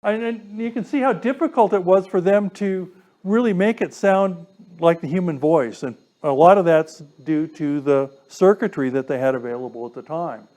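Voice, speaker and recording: A man's voice: high voice, normal speed, a little mellow. A man presenting an informal lecture in a conversational way, often checking in with his listeners. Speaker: class presenter. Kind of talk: informal lecture to a radio club.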